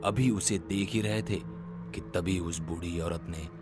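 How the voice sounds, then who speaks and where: A man telling a horror story as a scripted, dramatic narration, in a suspenseful and deliberate manner. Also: deep voice, steady tone, music in the background.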